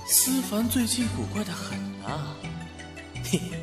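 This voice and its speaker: Guy as nosy mischievous immortal, lively delivery, costume audio drama w music. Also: high-pitched, a little slow. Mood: curious, amused. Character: nosy, amused male celestial immortal, mischievous.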